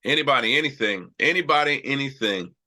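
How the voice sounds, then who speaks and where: A man with a very bright, sharp-edged voice hosts a live trading stream in a loose, chatty way. He has a cold and keeps circling back with "all right" as he talks through charts. His delivery is casual and full of restarts. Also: mid-range voice, lively intonation, fairly quick. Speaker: stock trading show host.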